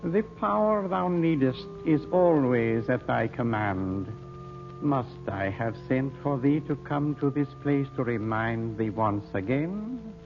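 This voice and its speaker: A solemn, ancient mystic teacher in an old radio serial. He speaks very slowly and ceremoniously in a fairly high male voice, over a thin, phone-like recording with music behind. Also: animated. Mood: solemn, chiding. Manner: archaic, ceremonious, measured speech. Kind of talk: 1930s-40s radio drama acting.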